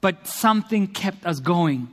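A man's voice: high voice, lively intonation, measured pace, fairly bright tone. A South African man preaching a sermon and sharing his testimony, earnest and rhetorical, building emphasis through repetition. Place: church hall. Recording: long reverb of a church hall.

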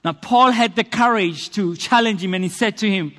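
A man's voice: high-pitched, moderate pace, a bit bright. A South African man preaching a sermon and sharing his testimony, earnest and rhetorical, building emphasis through repetition. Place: church hall. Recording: long reverb of a church hall.

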